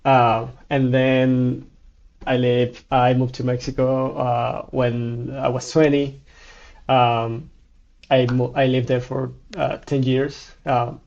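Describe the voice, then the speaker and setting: Cuban man speaking English haltingly, flat voice. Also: mid-range voice, gravelly, dull audio. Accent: Cuban Spanish. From Cuba. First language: Spanish. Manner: halting, many restarts in his second language. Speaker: guest. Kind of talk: casual interview.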